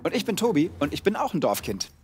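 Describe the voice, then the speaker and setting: A man's voice: a little high, sing-song, fast, darkish tone, soft background music. A man hosting a children's quiz show, talking cheerfully and directly to the contestants. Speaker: game show host.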